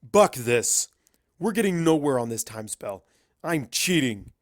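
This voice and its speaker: A man's voice: mid-range voice, big pitch swings, a little slow, no room sound, clean studio sound. A man voicing an arrogant, irritable male pony wizard with a blunt, bossy manner in a story reading. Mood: irritated, commanding.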